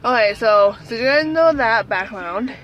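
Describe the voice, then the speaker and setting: A woman's voice: on the high side, expressive, unhurried, muffled recording. A young woman with a speech impediment telling a childhood story casually to camera, rambling with many fillers and restarts. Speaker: vlogger.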